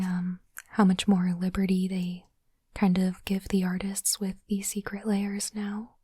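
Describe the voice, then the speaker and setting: Woman whispering asmr, breathy and gentle, real even and mellow, close mic. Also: medium pitch, dry recording. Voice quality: soft, breathy unvoiced whisper. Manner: gentle, unhurried, deliberate short phrases. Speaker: ASMR host unboxing trading cards. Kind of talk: whispered ASMR, reading cards and commenting. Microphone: close microphone, dry.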